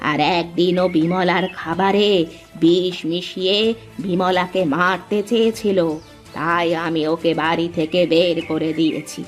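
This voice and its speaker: A woman's voice: fairly low pitch, natural voice. A woman playing a kindly but firm old village woman in a dramatic fairy-tale cartoon. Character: kindly, firm old village mother and lonely hut-dwelling granny.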